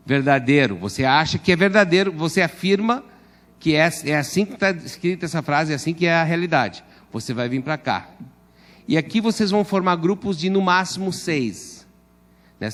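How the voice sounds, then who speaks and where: A man leads a church class in a fairly high voice, joking and correcting himself as he goes, then calling out louder to steer the group. Portuguese is not his first language, and the room around him rings with a long reverb. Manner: chatty, joking, self-correcting, raises voice to direct the group. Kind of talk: casual classroom instruction.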